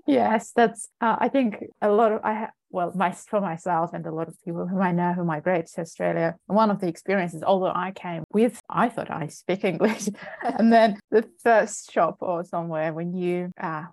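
A woman's voice: on the low side, normal speed, creaky, darkish tone, no room sound. A young adult woman, a native Azerbaijani speaker from Ukraine, speaking as an interview guest in casual conversation, hesitant with frequent fillers and restarts. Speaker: guest data scientist.

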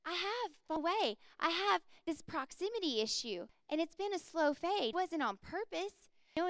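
Impassioned high-voiced woman preaching. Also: a bit bright, no echo. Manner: earnest, impassioned. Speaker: speaker. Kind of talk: sermon.

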